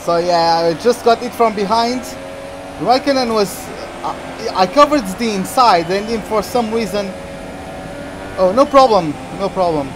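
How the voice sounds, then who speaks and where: A man from Portugal, streaming a racing game and chatting excitedly through the action. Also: high voice, measured pace, noisy background. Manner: chatty, reacts excitedly to the race. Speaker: gaming streamer. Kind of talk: live game commentary.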